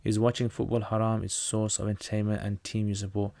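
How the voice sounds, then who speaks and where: A man's voice: lowish voice, monotone, brisk, gravelly, dark tone, dry recording. A man from North Pakistan, a native Pashto speaker, hosting a casual livestream Q&A. He reads out viewer questions and answers them plainly, often restarting his sentences.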